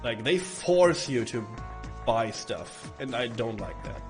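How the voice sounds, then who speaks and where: A man with a hoarse, rough voice from bronchitis, chatting casually and rambling to stream viewers while playing a game. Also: medium pitch, lively intonation, takes it slowly. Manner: rambling, chatty, swearing, with frequent restarts. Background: faint game music.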